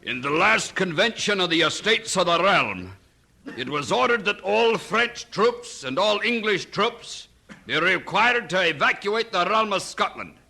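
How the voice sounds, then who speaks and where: A man's voice: sits high, big pitch swings, a little slow, vocal fry, sharp tone, full character voice. An actor playing an emphatic nobleman who proclaims to Parliament in old radio drama oratory. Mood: solemn, proclaiming. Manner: declamatory, proclaiming.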